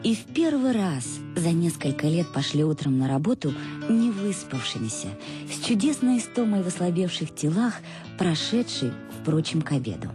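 An actress playing a reflective, embittered middle-aged wife in a radio play, confessing with irony to her absent husband in a husky voice. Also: lowish voice, sing-song, normal speed, over music. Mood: wry, agitated. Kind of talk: dramatic first-person monologue in a radio play. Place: dry studio.

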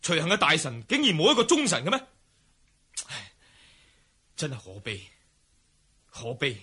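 A man's voice: on the high side, huge pitch swings, moderate pace, bright, forceful tone, slightly rough, full character voice. A man playing a righteous martial-arts hero in a historical radio drama, declaiming with scorn for corrupt courtiers. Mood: bitter, indignant.

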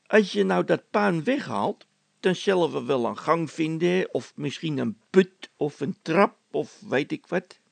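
A plain-spoken storybook labourer, put on by a male narrator, timid and rambling. He feels his way through sentences in a creaky, rough voice, close and dry. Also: a little high, expressive, measured pace, dry recording, full character voice. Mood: explaining. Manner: hesitant, rambling.

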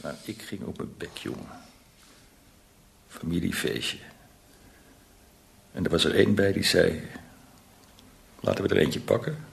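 Very gravelly confessing drinker, low voice, halting, colloquial, downcast, radio drama. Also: male voice, slight background noise, exaggerated voice. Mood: rueful, ashamed. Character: remorseful, downcast alcoholic man confessing a relapse. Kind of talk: Dutch radio play acting.

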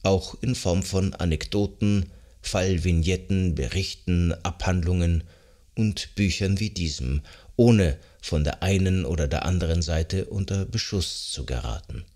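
A man reads scripted nonfiction as an audiobook narrator, in an articulate, measured, explanatory way. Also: sits low, darkish tone. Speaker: audiobook narrator of a psychology self-help book.

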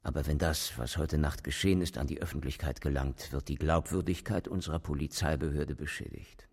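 An actor playing a senior police official in a radio drama, calculating and coldly coercive in measured, formal speech. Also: male voice, very deep voice, fairly even intonation, a little mellow. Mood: businesslike, persuasive. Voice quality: gravelly, husky. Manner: measured, formal, veiled threats.

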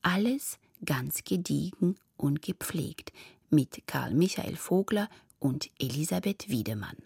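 Very low, raspy female radio presenter. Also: lively intonation, a little slow, darkish tone, no room sound. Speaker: radio presenter introducing a drama. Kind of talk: scripted narration.

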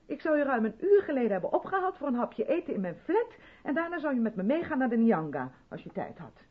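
Radio drama, female role: strict, insistent rehearsal leader, persuasive and pointed, very expressive intonation, muffled vintage sound. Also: sits high, quick pace, slightly rough, exaggerated voice. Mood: reproachful, pointed. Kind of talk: 1970s Dutch radio drama acting.

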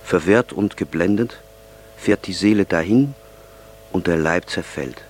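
An actor playing an earnest, solemn young man speaking deliberately in an audio drama. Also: sits low, a little hiss or hum.

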